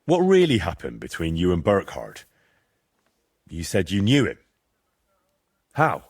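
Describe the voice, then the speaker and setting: A man playing a blunt, earnest young adventurer in a medieval video game, husky-voiced and direct in his questioning. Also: low voice, wildly swooping pitch, measured pace, darkish tone, exaggerated voice. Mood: insistent, skeptical.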